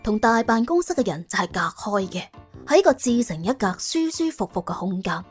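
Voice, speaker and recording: Animated, husky Cantonese woman storyteller. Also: mid-range voice, normal speed. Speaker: audiobook narrator. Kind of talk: dramatic, wry storytelling narration. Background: soft background music.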